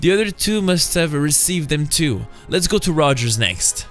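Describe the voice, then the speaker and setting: A man voicing a calm, inquisitive police commissioner in a comic-book drama, brisk and authoritative. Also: a little high, faint music behind. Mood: businesslike.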